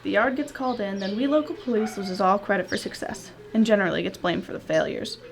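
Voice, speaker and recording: A woman's voice: medium pitch, fast talker, vocal fry, close to an everyday voice. A woman acting an eager, plain-spoken local police sergeant in a radio drama, folksy and deferential. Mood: earnest, wary.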